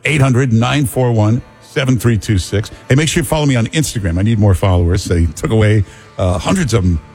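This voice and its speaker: A man's voice: lowish voice, animated, brisk, a little mellow, breathy edge, soft background music. A man hosting a talk radio show, teasing his co-host in a wry way and taking calls.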